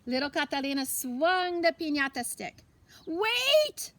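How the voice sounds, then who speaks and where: A woman with a high voice reads a picture book to children. She goes slowly and deliberately, pausing for effect and shaping each phrase expressively, like a teacher at story time. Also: fairly bright tone, no room sound. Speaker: teacher reading a story aloud.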